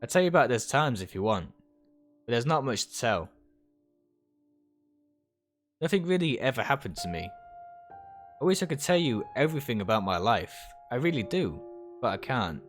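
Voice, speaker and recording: A resigned young amnesiac from a visual novel, read by a man. He talks plainly and guardedly at a medium pitch, hesitating, with faint music behind him. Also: big pitch swings, brisk, natural voice. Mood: resigned, hesitant.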